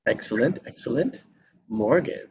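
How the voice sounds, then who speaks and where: A man's voice: mid-range voice, lively intonation, moderate pace, slightly rough. A man hosting an online table read, chatting casually. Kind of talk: casual chat. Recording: dull lo-fi call audio, faint noise.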